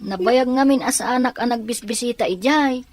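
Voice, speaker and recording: Woman playing a polite high-voiced Ilocano schoolkid. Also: normal speed, darkish tone, no echo, natural voice. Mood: respectful, earnest. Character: polite, grateful schoolchild living with widowed father. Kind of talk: Ilocano audio drama acting.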